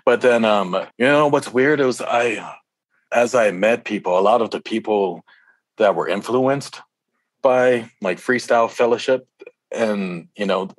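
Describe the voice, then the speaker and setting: Very deep-voiced man telling stories casually. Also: measured pace, dry recording. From Hawaii. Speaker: rapper, interview guest. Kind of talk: casual interview storytelling.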